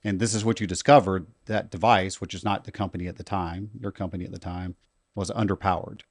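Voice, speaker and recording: Host guy, lowish voice, keeps asking the guest stuff, dry close sound. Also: steady tone, quick pace, no echo. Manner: prompting questions, conversational. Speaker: podcast host. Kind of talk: interviewing.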